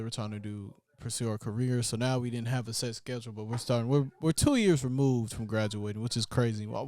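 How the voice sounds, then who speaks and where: A man's voice: medium pitch, a little mellow, no room sound. A man in his twenties co-hosting a podcast, laid-back and slangy, talking straight to his listeners. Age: 20s. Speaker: podcast co-host. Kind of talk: casual chat.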